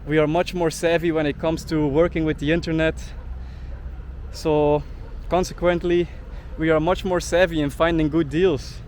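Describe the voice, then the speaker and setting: A man's voice: a little high, little pitch movement, normal speed, a little mellow, slight background noise. Indignant young man ranting outdoors. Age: young adult. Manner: indignant, heavy use of "like" fillers. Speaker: travel vlogger. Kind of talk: ranting vlog monologue.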